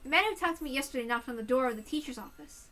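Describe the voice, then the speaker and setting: A woman voicing a teenage boy narrator who broods and then berates himself, her voice hoarse. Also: sits high, animated, racing, soft background music. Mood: brooding. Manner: swings from musing to shouting at himself. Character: teenage boy narrator, jumpy and self-blaming.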